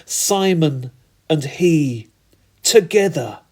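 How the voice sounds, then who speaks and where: A man performing narrative poetry in a theatrical, deliberate manner with dramatic pauses and emphatic repetition. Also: fairly high pitch, animated, a little mellow. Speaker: spoken-word poet.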